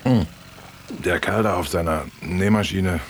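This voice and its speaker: A man's voice: low-pitched, a little slow, a little hiss or hum. A man acting a sardonic, grumbling East German drinking buddy in a radio play, dry, laconic and mocking. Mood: tipsy mocking.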